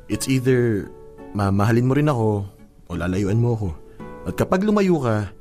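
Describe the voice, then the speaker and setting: A man's voice: medium pitch, lively intonation, moderate pace, a little mellow, soft background music, lightly acted. A man playing a shy, proud young boyfriend in a radio drama, speaking earnestly and hesitantly. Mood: nervous, tender. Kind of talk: Filipino radio drama acting.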